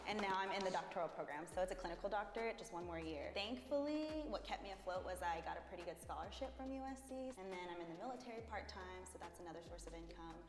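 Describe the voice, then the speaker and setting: A woman in her late twenties talks quickly and casually. Her voice rings in a reverberant room, with clear music behind it. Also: on the low side. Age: 20s. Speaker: doctoral student taking part in a group discussion. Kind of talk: casual conversation.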